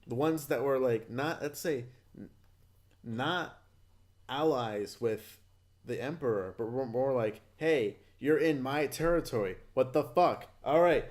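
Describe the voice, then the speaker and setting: A man's voice: medium pitch, unhurried. Rambling, foul-mouthed male podcast host. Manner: rambling, profane, animated retelling. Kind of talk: casual podcast chat.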